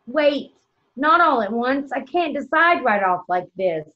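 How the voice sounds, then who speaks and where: A woman acting as a torn young wife with a very high voice, pleading and hesitant. She sounds anguished, in stage drama style, over dull lo-fi call audio. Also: big pitch swings, unhurried. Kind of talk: virtual stage play table read.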